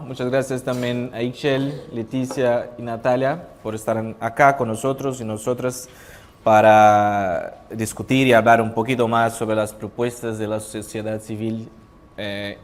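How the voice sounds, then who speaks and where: A man's voice: lowish voice, flat delivery, measured pace, a little hiss or hum. A man with a Brazilian Portuguese accent, a native Portuguese speaker, moderating a conference panel, hesitant and full of restarts. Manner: hesitant, restarts, apologetic about his Spanish. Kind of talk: conference panel opening remarks. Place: conference hall.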